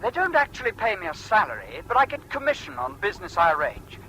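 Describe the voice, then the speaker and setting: An actor in a radio drama playing an excitable, hopeful young Englishman seeking approval, heard as a telephone voice. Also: high-pitched, quick pace, crowd noise, exaggerated voice, poor audio. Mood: eager. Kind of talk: British radio drama acting.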